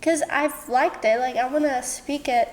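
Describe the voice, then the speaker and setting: A woman's voice: high voice, normal speed. A woman from Syria with a Syrian Arabic accent and Arabic as her native language, talking expressively and informally as a podcast guest. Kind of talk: casual personal interview conversation.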